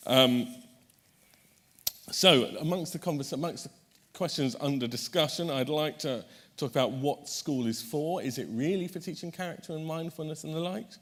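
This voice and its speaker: A man's voice: on the high side, moderate pace, a bit bright. A man chairing a conference panel, introducing the speakers in a chatty way, correcting himself often and making light jokes.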